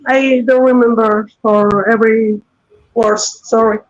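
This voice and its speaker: A woman from Colombia with a Colombian Spanish accent, a Spanish speaker talking halting, apologetic English on a phone as a guest in a casual chat. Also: fairly high pitch, steady tone, lo-fi sound. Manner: halting, searching for words, apologetic.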